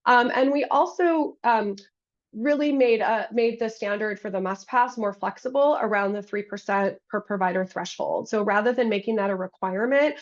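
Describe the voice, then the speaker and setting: A woman with a cold, hoarse, moderating a webinar and leading the questions, with plenty of ums. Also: mid-range voice, muffled recording. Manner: frequent ums, conversational and apologetic. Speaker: webinar moderator for a state health program. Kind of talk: hosting a webinar Q&A.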